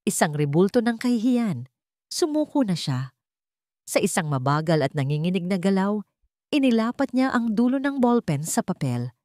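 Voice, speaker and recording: A woman narrating a dramatic family story in a measured, solemn way. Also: medium pitch, sing-song, mellow, no echo, clean studio sound.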